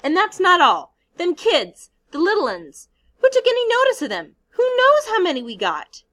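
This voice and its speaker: A woman voicing a fretful, indignant schoolboy stranded on an island, shrill and scolding. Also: huge pitch swings, normal speed, a bit bright, exaggerated voice.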